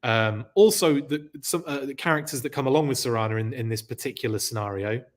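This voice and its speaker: A man's voice: medium pitch, fairly quick. Rambling man with a scratchy throat. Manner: chatty, rambling, self-correcting. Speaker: podcast host. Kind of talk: live stream chat reading comments.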